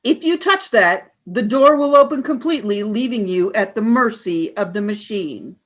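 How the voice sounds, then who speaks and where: A woman playing a cold, ruthless alien commander in a radio play, speaking in measured, commanding taunts. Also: mid-range voice, animated, natural voice.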